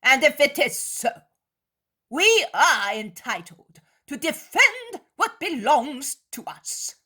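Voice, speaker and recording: A woman doing a furious threatening high priest. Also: big pitch swings, slow pace, heavily acted. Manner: shouting, contemptuous.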